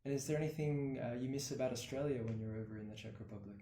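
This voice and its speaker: A man asking interview questions in a brisk, casual way with fillers. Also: medium pitch, dark tone, roomy sound.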